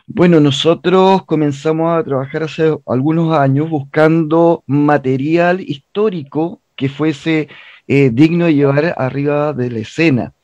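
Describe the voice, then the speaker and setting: A man's voice: fairly high pitch, unhurried, darkish tone, no room sound, dull audio. A man with a Chilean accent giving an earnest, explanatory interview as a theatre director, telling stories and repeating phrases for emphasis.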